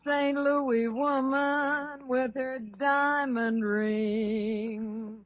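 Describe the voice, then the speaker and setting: A woman's voice: high-pitched, fairly even intonation, very slow, no echo. An actress playing a brash, wisecracking young city woman in a 1930s radio drama, slangy and joking. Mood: playful singing. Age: young adult. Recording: tinny, muffled 1930s radio recording.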